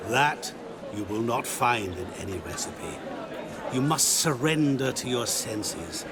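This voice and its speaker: An actor playing a mysterious, theatrical man who teases a crowd with riddles in a video game, speaking in a savouring, deliberate manner. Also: mid-range voice, expressive. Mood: teasing, savouring. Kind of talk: video game dramatic acting. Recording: crowd noise in a public space.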